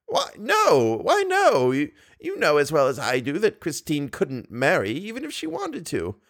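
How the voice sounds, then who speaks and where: A man's voice: a little high, huge pitch swings, unhurried, fairly bright tone, voice pushed up, full character voice. A man reading aloud, voicing a kindly, bedridden old woman who speaks with simple, cheerful faith. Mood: earnest.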